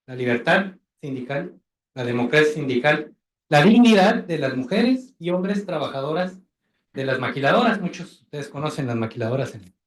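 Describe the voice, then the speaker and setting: A man with a Mexican accent giving formal, deliberately phrased remarks as a judge speaking from the audience. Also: on the high side. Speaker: labor judge commenting from the audience. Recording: echoey event room.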